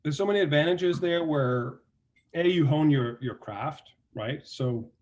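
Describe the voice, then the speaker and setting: A man from Denmark with a Danish accent, a guest actor telling stories in a relaxed interview, often restarting and filling his sentences. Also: a little high, a little slow. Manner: relaxed storytelling with many restarts and fillers. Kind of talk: casual interview talk.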